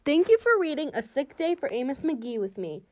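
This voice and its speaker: A woman reading a children's picture book aloud, expressive and warm, with a bright lift when she greets the listeners. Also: high-pitched, moderate pace. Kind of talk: storybook read-aloud for kids.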